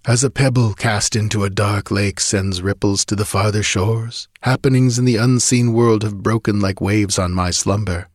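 A man's voice: lowish voice, steady tone, normal speed, hardly any pauses, no room sound, clean studio sound, close to an everyday voice. Man voicing a sepulchral, commanding ancient sage. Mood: commanding, portentous. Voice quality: sepulchral, ghostly tone. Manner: grandiose, archaic, oratorical delivery. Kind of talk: dramatic audiobook fantasy reading.

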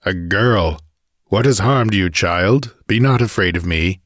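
A man voicing a somber, gentle wandering swordsman with a soft, grave murmur, in an audiobook adventure. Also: deep voice, measured pace, no room sound, studio quality. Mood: gentle concern.

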